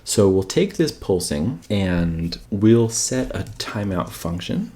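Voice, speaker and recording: A man's voice: low-pitched, sing-song, takes it slowly, mellow, slight background noise. A man giving an informal coding screencast tutorial, thinking aloud and often checking in with rhetorical questions. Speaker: presenter of a coding tutorial channel.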